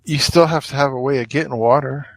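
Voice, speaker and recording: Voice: medium pitch, fast talker, dry recording, poor audio. A podcast co-host chatting casually and adding informal, laid-back remarks. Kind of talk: casual conversational chat.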